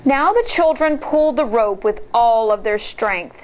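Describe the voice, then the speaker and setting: A woman reads a picture book aloud like a schoolteacher. Her voice sits on the high side and moves slowly, with expressive storytelling. The sound is a little dull. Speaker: teacher reading aloud to children. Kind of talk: storybook read-aloud with commentary.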